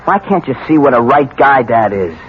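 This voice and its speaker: A man's voice: medium pitch, animated, normal speed, natural voice. An actor playing a guilty, evasive, defensive young man, a son, in a vintage radio drama. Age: young adult. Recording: dull old radio broadcast with faint noise.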